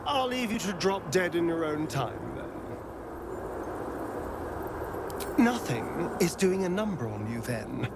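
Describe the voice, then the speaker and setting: An actor playing a vain, sardonic adventuring companion in a fantasy video game, with an arch, theatrical delivery and a biting wit. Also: male voice, on the high side, very animated, moderate pace, gravelly, heavy background noise. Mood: mock concern.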